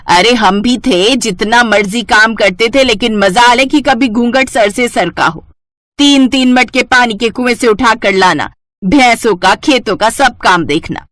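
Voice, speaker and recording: A woman's voice: medium pitch, measured pace, fairly bright tone, dry recording, lightly acted. Woman acting a nagging old-fashioned mother-in-law, dry recording. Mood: indignant scolding. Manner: nagging, disapproving, exclamatory. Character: scolding, old-fashioned older mother-in-law in a Hindi family cartoon. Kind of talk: cartoon story voice acting.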